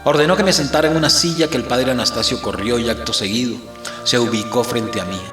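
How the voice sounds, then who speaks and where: An actor playing a grave, fatalistic captive priest who narrates his ordeal in a measured, theatrical, literary audio-drama style. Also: mid-range voice, roomy sound, music in the background, lightly acted. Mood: grave, brooding.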